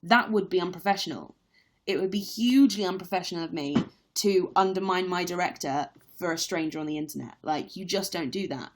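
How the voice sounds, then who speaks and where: A woman's voice: lowish voice, fairly quick. A young woman who acts on stage, chatting candidly in a live-stream Q&A with lots of fillers. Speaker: stage actress livestreaming a Q&A. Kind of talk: casual live-stream chat.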